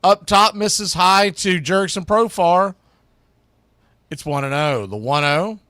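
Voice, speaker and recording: A man doing folksy live baseball play-by-play as stream host, moving between casual asides and excited calls. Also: sits high, slow, dry recording, studio quality. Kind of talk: live radio-style sports commentary with casual chat. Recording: loud steady background noise.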